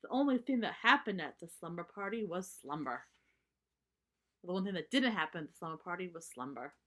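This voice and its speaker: A woman reading a story aloud for children, narrating and pausing to explain things simply. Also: lowish voice, animated, a bit bright.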